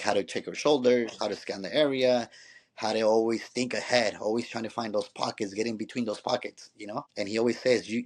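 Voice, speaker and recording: A man with a lowish voice who talks quickly, piling up restarts and tossing in "you know" every few phrases. He tells stories from his playing days the way he would tell a friend over coffee. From Mexico. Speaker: former pro player, youth coach. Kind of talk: conversational storytelling.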